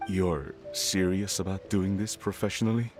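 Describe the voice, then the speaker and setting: A man playing a deep-voiced proud father. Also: steady tone, music in the background, lightly acted. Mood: earnest. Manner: halting, gentle speech with awkward chuckles. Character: gruff older father, reserved but proud. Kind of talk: video game voice acting.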